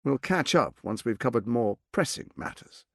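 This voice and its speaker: An actor playing a scheming, arrogant middle-aged tyrant lord in a video game, with a pompous, silky, menacing delivery. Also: male voice, mid-range voice, lively intonation, normal speed, creaky, no echo, studio quality. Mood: smug, silky. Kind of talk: theatrical video game voice acting.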